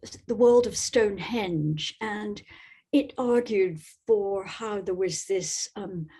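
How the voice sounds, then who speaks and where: Woman from Scotland, podcast guest. Slightly husky voice, thoughtful and halting delivery. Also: mid-range voice, mellow. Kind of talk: conversational interview answers.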